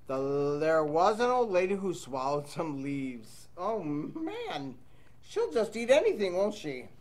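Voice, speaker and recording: Animated, slow-paced man reading to children. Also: high-pitched. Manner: chatty, sing-song, playful questions to young listeners. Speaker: children's storybook reader. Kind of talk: animated read-aloud to children with casual asides.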